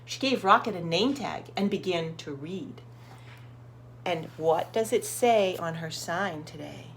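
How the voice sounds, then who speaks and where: A woman reading a storybook aloud to young children, expressive and encouraging, putting questions to her listeners. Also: fairly low pitch, a little slow, a little hiss or hum.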